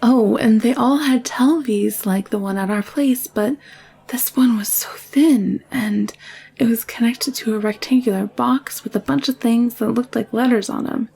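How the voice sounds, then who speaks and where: A woman playing an anxious, secretive young alien nurse in an intimate audio drama, confiding in a hushed, hesitant way. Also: on the high side, moderate pace, vocal fry, slightly rough. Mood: haunted, searching. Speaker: voice actor in audio roleplay.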